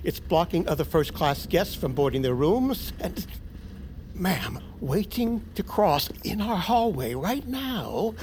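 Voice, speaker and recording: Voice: medium pitch, big pitch swings, takes it slowly, darkish tone, a little hiss or hum. An actor playing a polite, deferential ship's attendant, apologetic and obsequious, in a comedic audio drama.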